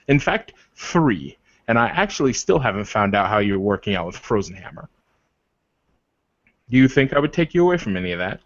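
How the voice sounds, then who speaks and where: A man playing a blunt, exasperated male werewolf in a tabletop game, speaking in a deliberate, halting, emphatic way. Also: lowish voice, dry recording, muffled recording.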